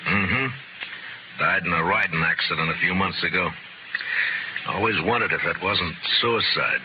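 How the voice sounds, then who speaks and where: An actor playing a stern, principled, dry-humored frontier marshal in a radio western, speaking firmly and tersely. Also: male voice, deep voice, moderate pace, noisy background, lightly acted. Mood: serious, steady. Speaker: lead actor and narrator. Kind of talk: old-time radio western acting. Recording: muffled old radio recording, music behind.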